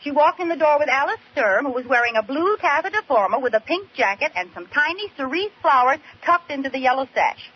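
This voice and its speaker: An actress playing a scheming, excitable American housewife, wisecracking and dramatic in 1940s radio comedy style. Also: female voice, high voice, fairly quick, few pauses. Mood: indignant. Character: scheming, excitable young housewife, playful and melodramatic. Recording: muffled vintage radio recording with hiss.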